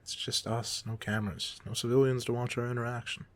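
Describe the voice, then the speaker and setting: A man playing a goofy, soft-hearted supervillain off duty in an audio roleplay, talking casually with teasing, self-deprecating humor. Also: mid-range voice, level tone, fairly quick, natural voice. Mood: exasperated, frank. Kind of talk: ASMR roleplay monologue, casual conversational acting.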